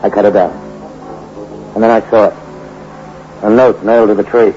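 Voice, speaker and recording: An actor playing a ruthless gold hunter from the Bronx, narrating in a tough, cynical first-person voice. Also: male voice, fairly low pitch, fairly even intonation, normal speed, lightly acted. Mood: tense. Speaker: narrator and lead character. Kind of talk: 1940s radio acting. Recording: muffled old radio recording with music.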